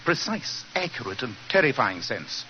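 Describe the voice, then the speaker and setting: An actor playing a brilliant, commanding British detective, speaking precisely and with authority in a 1940s radio drama. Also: male voice, medium pitch, big pitch swings, moderate pace, bright, forceful tone. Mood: intent. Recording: muffled, hissy 1940s radio recording.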